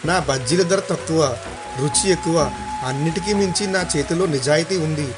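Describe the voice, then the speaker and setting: A man's voice: fairly high pitch, a little fast, music in the background, lightly acted. A man voicing an earnest, hardworking young village snack seller in a moral story. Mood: confident. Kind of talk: acted dialogue in a moral story.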